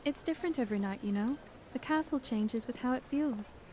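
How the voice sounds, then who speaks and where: A dreamy teenage witch, played by a woman, serene and whimsical. Her voice is high and gentle, in audio drama style. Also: a little fast, slight background noise, natural voice.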